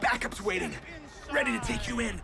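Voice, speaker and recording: Scared male henchman, very high creaky voice, fearful blurting for a game interrogation. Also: a little fast, raised voice. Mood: scared confession. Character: frightened male hired tail spilling secrets under threat. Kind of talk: video game cutscene acting.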